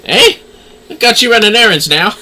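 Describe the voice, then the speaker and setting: A man's voice: high-pitched, expressive, normal speed, bright, forceful tone, voice pushed up, full character voice. A man voicing a brash, shrewd female merchant in a video game, with a mocking, salty manner. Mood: mocking, brisk.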